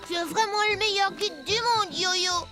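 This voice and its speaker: A woman's voice: extremely high pitch, unhurried, echoey room, long reverb tail. A woman voicing a friendly, earnest young boy in a children's fairy-tale cartoon, over music. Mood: grateful. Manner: eager, boyish.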